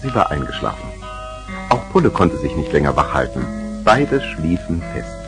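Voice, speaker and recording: Storybook narrator, low voice, animated telling, 1970s record, music behind. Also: male voice, moderate pace, heavily acted. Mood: storytelling. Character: storybook narrator of a children's tale. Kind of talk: scripted narration for 1970s children's radio play.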